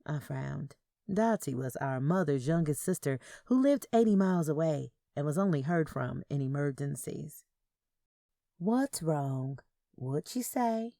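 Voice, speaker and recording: A woman's voice: deep voice, animated, moderate pace, gravelly, warm tone, no echo, studio quality. A woman narrating a first-person audio story in a dramatic reading style.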